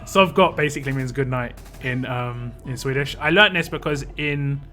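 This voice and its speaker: A man's voice: mid-range voice, normal speed, bright, forceful tone. A man chatting casually on a live stream while he plays a game, rambling and answering chat. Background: game music.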